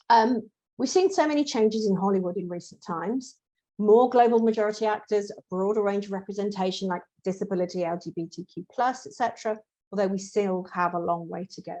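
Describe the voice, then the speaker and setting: A woman's voice: mid-range voice, moderate pace, a little mellow, low-quality recording. A woman hosting a webinar, chatting casually and reading out audience questions. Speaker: webinar host.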